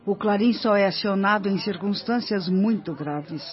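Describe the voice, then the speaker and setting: Man playing solemn male spirit mentor, high voice, declamatory, radio drama, dull recording with music. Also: moderate pace, lightly acted. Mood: grave. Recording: muffled radio play with background music.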